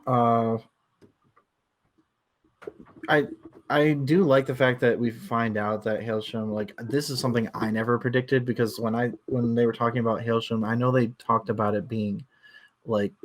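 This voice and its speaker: A man hosting a casual book discussion, thinking aloud in a halting way and circling back over his phrases. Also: mid-range voice, fairly even intonation. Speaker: book club discussion host.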